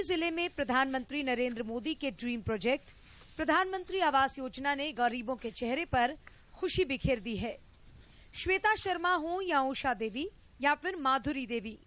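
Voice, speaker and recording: A woman news reporter narrating a scripted report in a crisp, formal way. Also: high voice, a bit bright.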